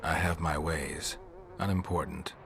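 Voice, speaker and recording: A gruff fantasy monster hunter with a low-pitched voice. He speaks tersely and dryly, unflappable and deadpan, as in a video game. Faint buzzing ambience sits behind him. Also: measured pace, a little hiss or hum. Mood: dry, unbothered.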